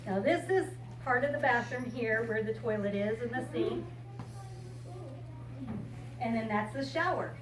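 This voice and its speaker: A woman's voice: a little high, unhurried, long pauses. Older American woman talking to kids, echoey. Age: 60s or older. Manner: simple, explanatory, addressing young listeners. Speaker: storyteller and RV enthusiast visiting children. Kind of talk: informal talk and picture-book reading to children. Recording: echoey room, distant mic, loud background noise.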